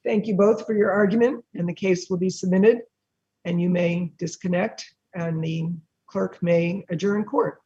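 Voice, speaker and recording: A presiding judge asks curious, conversational questions of counsel, her voice husky and breathy as she loses it. The audio comes through dull and muffled, as over a remote call. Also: mid-range voice, steady tone, measured pace, dark tone. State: losing voice.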